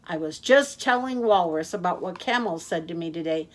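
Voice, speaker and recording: A woman's voice: animated, natural voice. Woman doing a nonstop-chattering yak cabbie. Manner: rambling, complaining, fast and high. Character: chatty male yak taxi driver, talks nonstop.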